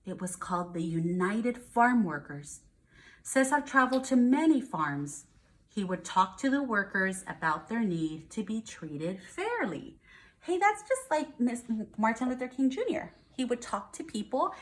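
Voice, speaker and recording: A woman's voice: fairly high pitch, big pitch swings, measured pace. An American woman, a first grade teacher, reads aloud to young children and explains things simply, checking in with questions.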